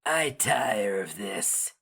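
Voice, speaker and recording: A man voicing a hulking inhuman monster in a dramatic fantasy story, speaking in a slow, weary, impatient drawl. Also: on the low side, expressive, gravelly, sharp tone, slightly rough, exaggerated voice. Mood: weary impatience.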